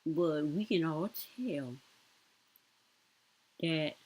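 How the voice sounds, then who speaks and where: Husky-voiced Southern woman rambling. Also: low voice, drawn-out words, a little mellow. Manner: rambling, conversational, colloquial. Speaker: commentator. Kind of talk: casual reaction commentary.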